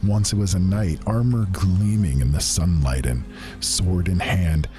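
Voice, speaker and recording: An actor playing a haunted, grief-stricken man who solemnly and haltingly recounts fantasy horrors in archaic phrasing. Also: sits low, warm tone, faint music behind. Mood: horrified, dread. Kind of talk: dramatic first-person fantasy narration.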